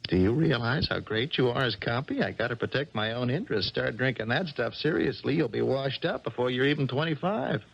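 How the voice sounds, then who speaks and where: An actor playing a nosy, gravelly sports reporter with a breezy, probing manner in a 1970s radio drama. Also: male voice, medium pitch, expressive, brisk, hardly any pauses. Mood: needling. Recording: dull old radio broadcast.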